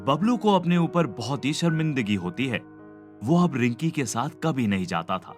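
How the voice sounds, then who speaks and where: A man's voice: medium pitch, sing-song, normal speed. A man reading scripted narration for a cartoon story. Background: background music.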